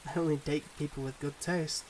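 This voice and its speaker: A suave, secretive mob boss played by a man talks to his partner in an intimate ASMR roleplay. His voice sits a little high, with a smooth, confiding, teasing manner. Light rain noise runs behind him. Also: steady tone, fairly quick, a little mellow, close to an everyday voice, poor audio. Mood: charming, relaxed. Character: suave, secretive young male mob boss, devoted online boyfriend.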